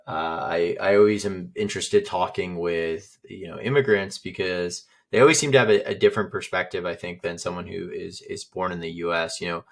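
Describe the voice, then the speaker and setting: Male podcast host, very low voice, hesitant, leans on "you know.". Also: steady tone. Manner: halting, many "you know" fillers. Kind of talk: interview questions.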